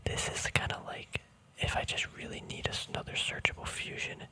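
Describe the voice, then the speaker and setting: A man speaking in a soft, unvoiced whisper, relaxed and chatty, hosting a casual ASMR walkthrough. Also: brisk. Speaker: ASMR video host.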